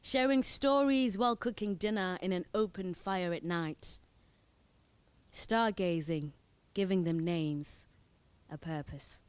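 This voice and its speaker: A woman's voice: fairly low pitch, slow pace, a little hiss or hum. A woman from Zimbabwe who is a spoken word performer, reading her poems on stage with short spoken introductions. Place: indoor event venue.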